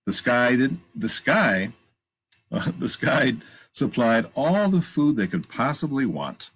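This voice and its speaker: A man with a gravelly, husky voice reads a picture book aloud to children, pausing to point out pictures and ask questions. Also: mid-range voice, a little hiss or hum. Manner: animated, emphatic read-aloud delivery. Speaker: children's storytime reader. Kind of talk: reading a picture book aloud with chatty asides.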